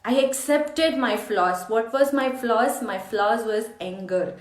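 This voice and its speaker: Casting: female life coach, Indian accent, unhurried delivery, earnest personal storytelling, roomy echoing sound. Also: fairly high pitch. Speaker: life coach hosting a meditation challenge. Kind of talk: personal motivational storytelling to camera.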